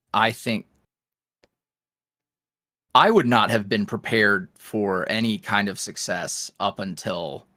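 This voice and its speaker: A man's voice: fairly low pitch, a bit bright, dry recording, clean studio sound. A man chatting casually as a podcast guest, in a folksy, self-deprecating and jokey manner. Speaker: interview guest, online audio roleplay creator.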